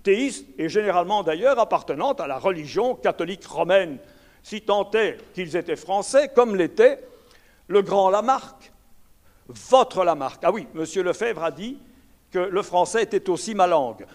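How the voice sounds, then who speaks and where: Older man, Belgian French accent, high voice, lively emphatic lecturing, rhetorical asides. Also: moderate pace. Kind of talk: public lecture. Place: lecture hall.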